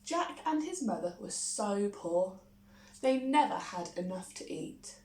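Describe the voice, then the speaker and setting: A woman reading a children's picture book expressively, with dramatic pauses and little asides. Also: medium pitch, lively intonation, darkish tone. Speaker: primary school story reader. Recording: roomy echo, faint background noise.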